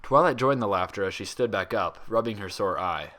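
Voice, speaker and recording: A man reading fan fiction aloud as narrator, with dramatic, expressive scripted delivery. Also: lowish voice, a little fast.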